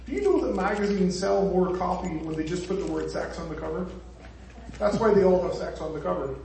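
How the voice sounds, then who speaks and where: A man's voice: sits high, lively intonation, fairly quick, gravelly, a little mellow, a little hiss or hum. A man from Northern Ireland with a Northern Irish accent, giving a conversational sermon with jokes and asides to the congregation. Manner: chatty and joking, with asides to the congregation. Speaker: preacher. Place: church hall. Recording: echoey hall, far from the mic.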